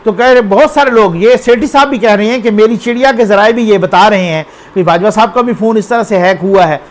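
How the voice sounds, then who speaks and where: A man with a Pakistani accent and a notably high-pitched voice hosts an informal news commentary. He speaks off the cuff in a conversational way, often putting rhetorical questions to the viewer and checking that they follow. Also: a little fast.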